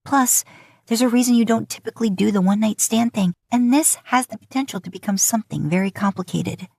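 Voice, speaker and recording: A woman narrating a scripted romantic story as an expressive, intimate storyteller. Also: a little high, fairly quick, creaky, dry recording, clean studio sound. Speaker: podfic narrator.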